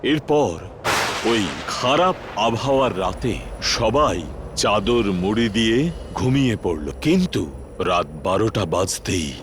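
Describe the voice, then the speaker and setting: Low-pitched male horror-story narrator. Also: lively intonation, measured pace, background noise. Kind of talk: scripted dramatic horror storytelling. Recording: music behind the voice.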